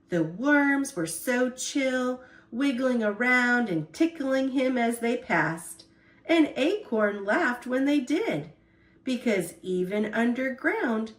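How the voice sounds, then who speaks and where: A woman storyteller reading a children's picture book aloud in an expressive, deliberate way. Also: fairly high pitch, roomy sound.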